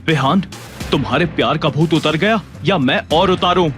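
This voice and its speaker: A man's voice: a little high, moderate pace, a bit bright, soft background music, natural voice. A man playing a proud, hot-tempered, sarcastic young husband in an audio drama, assertive and biting. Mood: cutting. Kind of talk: Hindi audio drama acting.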